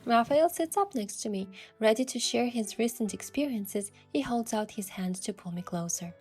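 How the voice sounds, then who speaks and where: A woman's voice: on the high side, animated, moderate pace, a little mellow, breathy edge. A woman reading scripted first-person romance narration and voicing the heroine. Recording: music behind the voice.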